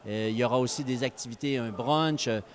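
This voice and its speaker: A man with a Québécois French accent delivers a formal public announcement as a local official. He speaks at an ordinary pitch and stumbles often, filling gaps with hesitant sounds. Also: fairly quick. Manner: hesitant, many fillers. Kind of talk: press announcement.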